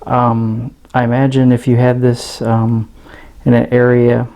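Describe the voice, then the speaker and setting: A man's voice: medium pitch, monotone, measured pace, gravelly, mellow, clear, resonant tone. An American man giving a casual, unscripted product review, hesitant and thinking aloud as he goes. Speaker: radio reviewer.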